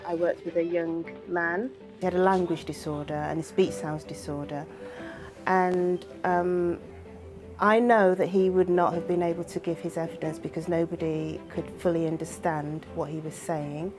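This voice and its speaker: A woman's voice: lowish voice, steady tone, moderate pace, over music. A woman working as an intermediary, talking in an interview about her own work. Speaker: witness intermediary.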